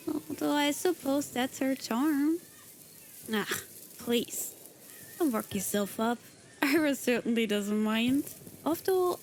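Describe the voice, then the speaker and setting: A woman voicing an aloof, haughty non-human woman who talks down to the listener in a slow, disdainful drawl, in a scripted roleplay. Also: high voice, a little hiss or hum. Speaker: virtual streamer voicing her persona.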